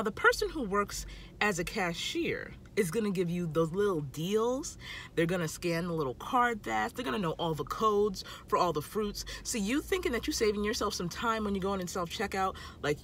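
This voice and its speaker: A woman's voice: mid-range voice, animated, moderate pace, fairly bright tone, slight background noise. A woman in her thirties giving casual tips to camera from inside a parked car, emphatic and talking with her hands, filmed on a phone at arm's length. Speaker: food vlogger.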